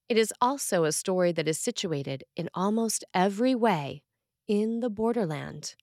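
A woman's voice: on the low side, dry recording. Woman reading a sermon, very dry sound.

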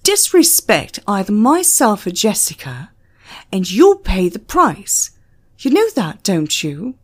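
A woman's voice: medium pitch, huge pitch swings, slow, breathy edge, full character voice. A British woman voicing a domineering, taunting young woman in an audio story. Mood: barking, threatening.

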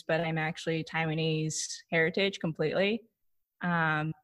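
A woman's voice: lowish voice, a bit bright. A woman speaking as a podcast guest, telling personal stories in an informal, reflective way, thinking aloud as she goes. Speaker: podcast guest, architecture researcher. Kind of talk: conversational personal storytelling.

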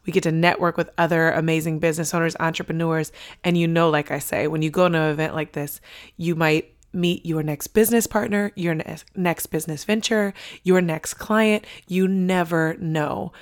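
A woman hosts a motivational podcast, hoarse from losing her voice, energetic and preachy, repeating key lines for emphasis. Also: deep voice, normal speed. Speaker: podcast host.

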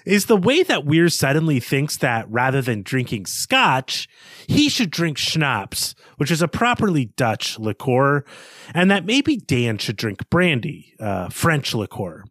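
A man co-hosting a literary podcast, musing about the book and trailing off into quiet asides. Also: a little high, sing-song, a little slow, no echo, clean studio sound. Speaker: podcast co-host. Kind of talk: casual book discussion.

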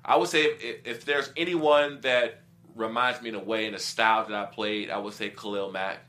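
A man's voice: fairly low pitch, fairly even intonation, brisk, pushed and bright. A middle-aged man, a former professional football player, talking casually as a guest and explaining his views with examples and quoted asides. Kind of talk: casual sports talk.

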